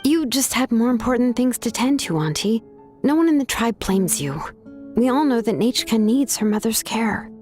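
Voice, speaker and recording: A woman's voice: on the high side, expressive, normal speed, darkish tone, soft background music, close to an everyday voice. An actress playing a laid-back, caring young craftswoman in a video game, talking casually and modestly. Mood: reassuring. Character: laid-back, caring young woman, tribe's name engraver.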